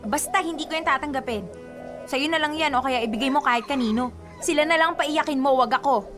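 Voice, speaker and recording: Young Filipina girlfriend for radio drama, played by an actress: high, girlish, stubborn, pleading, over music. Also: fairly quick, close to an everyday voice. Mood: sulky. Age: young adult. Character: superstitious, stubborn young girlfriend, sweet but anxious. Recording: music bed under the voice.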